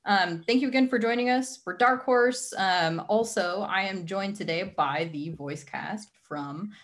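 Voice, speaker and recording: A woman's voice: medium pitch, measured pace. A young adult woman casually introducing a livestream as its host, speaking on a video call from home.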